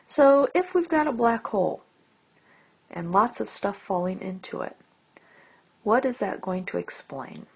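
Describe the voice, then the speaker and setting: A woman giving an explanatory lecture as a teacher, phrasing deliberately and stressing key words. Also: mid-range voice, lively intonation, creaky, darkish tone, breathy edge. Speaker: astronomy lecturer. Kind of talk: explanatory lecture over slides.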